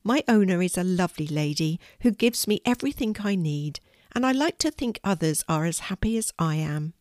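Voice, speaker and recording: A woman voicing a big, kind, old female sheepdog who speaks gently. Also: fairly low pitch, expressive, moderate pace, no room sound, studio quality, lightly acted. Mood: gentle, kind.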